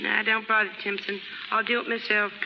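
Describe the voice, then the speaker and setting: A woman's voice: medium pitch, level tone, fairly quick, vocal fry, natural voice. Actress as a brash chorus girl on hissy old radio. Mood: guarded, hurt. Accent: American. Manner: slangy, ungrammatical. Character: brash, uneducated, lovelorn young chorus girl. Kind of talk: 1930s radio acting. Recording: noisy 1930s radio broadcast, dull, reverberant.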